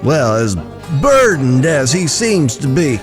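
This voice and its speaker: An actor in a western audio drama playing a hearty cowboy friend who spins tales in a folksy, emphatic way. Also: male voice, a little high, sing-song, slow. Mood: concerned. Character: hearty, storytelling older cowboy friend, slow drawl. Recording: music in the background.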